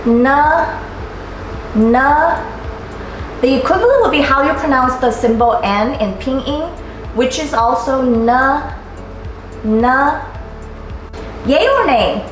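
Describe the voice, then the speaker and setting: A woman in her thirties teaches sounds at home with a bright, playful energy and clear repetition. Her voice sits high. The room is very echoey, and soft music plays underneath. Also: very animated, takes it slowly, long pauses. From Taiwan. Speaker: Mandarin teacher. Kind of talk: scripted language lesson. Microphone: camera at a distance, seated facing it.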